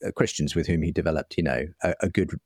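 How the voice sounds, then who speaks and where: A man's voice: extremely low pitch, fast, a little mellow, dry recording, clean studio sound. A man, talking thoughtfully and agreeably, a little hesitantly, as a guest in an interview. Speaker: interview guest, writer and broadcaster. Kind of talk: conversational interview.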